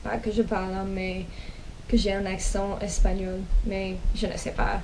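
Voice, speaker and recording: A young American woman, a native English speaker, telling her own story in a casual vlog, with slow hesitant speech full of pauses and restarts. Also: medium pitch, little pitch movement, background noise. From United States. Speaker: language vlogger. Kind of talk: casual personal storytelling in learned French.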